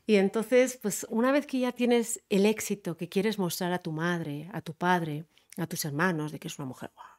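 Lively woman telling stories in conversation. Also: lowish voice, normal speed. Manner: animated storyteller, quotes others, laughs often. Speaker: guest. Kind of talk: casual interview chat.

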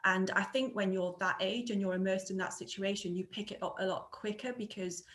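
Female interview guest in her thirties, clear and measured explainer, even mid-range voice. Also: mid-range voice. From England. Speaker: guest, scientist. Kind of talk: interview answers.

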